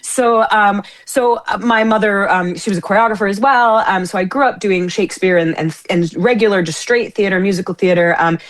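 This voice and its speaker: A woman's voice: medium pitch, brisk, hardly any pauses. Bubbly woman singer. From United States. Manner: bubbly and effusive, with many fillers, restarts and laughs. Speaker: guest, opera singer and musical theatre performer. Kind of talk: casual interview chat.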